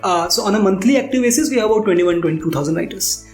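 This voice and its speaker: A man's voice: high-pitched, lively intonation, very fast, a little mellow. Indian man, echoey interview over music. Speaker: startup founder being interviewed. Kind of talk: conversational interview answers. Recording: roomy echo, music underneath.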